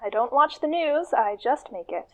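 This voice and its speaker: A woman's voice: sits high, lively intonation, normal speed, a little mellow. A woman playing an outspoken, ambitious female activist leader in a naturalistic radio play, on a dull recording. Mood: firm, guarded.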